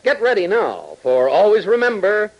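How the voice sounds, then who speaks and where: Husky, animated 1940s radio announcer man. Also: sits high, moderate pace, dull audio. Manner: dramatic, emphatic announcer delivery. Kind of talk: 1940s radio scripted narration.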